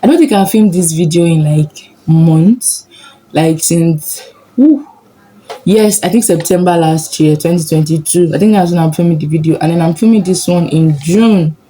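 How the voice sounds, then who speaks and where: Young woman, Nigerian accent, low voice, mellow tone, chatty sit-down vlog. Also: normal speed, a little hiss or hum, low-quality recording. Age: young adult. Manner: chatty, upbeat, laughs often. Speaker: vlogger, medical student.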